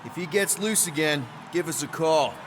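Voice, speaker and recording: A man's voice: on the high side, lively intonation, normal speed, background noise, close to an everyday voice. A man playing a cocky, boyish space outlaw leader in a video game, casual and wisecracking. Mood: wry. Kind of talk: video game cutscene acting.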